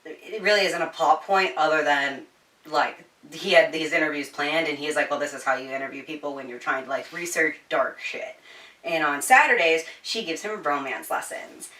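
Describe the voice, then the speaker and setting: A woman's voice: low-pitched, moderate pace, sharp tone, far from the mic. A woman hosting a casual, chatty book review, informal and giggly, with some swearing. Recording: roomy echo, slightly off-mic.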